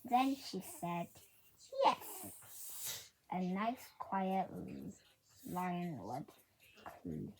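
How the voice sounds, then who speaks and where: Very slow, expressive woman reading aloud. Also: fairly low pitch. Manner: halting, often repeating and correcting words. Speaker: storybook reader. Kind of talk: children's book read-aloud. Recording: faint background noise.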